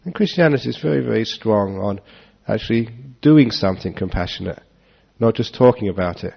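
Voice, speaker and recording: A man giving a relaxed Dharma talk to an audience, joking and chuckling as he answers questions. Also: fairly low pitch, a little fast, gravelly, husky. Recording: dull lo-fi talk recording.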